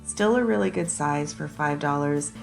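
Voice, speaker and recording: A woman narrating a casual shopping vlog, hoarse and raspy from a sinus infection, chatty and enthusiastic as she talks to her viewers. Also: low voice, normal speed, soft background music. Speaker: shopping vlogger. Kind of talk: casual shop-with-me voice-over.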